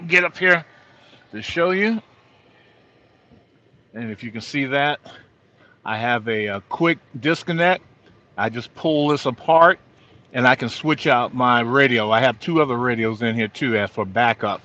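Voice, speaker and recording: Bright-voiced older man, dull lo-fi audio. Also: medium pitch. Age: middle-aged or older. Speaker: truck driver vlogger. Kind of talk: informal hands-on gear walkthrough.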